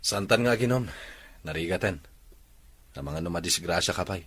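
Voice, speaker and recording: A man's voice: low-pitched, moderate pace, a bit bright, husky, no echo. A man playing a terse, brooding former playboy in an audio drama. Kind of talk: Ilocano audio drama dialogue.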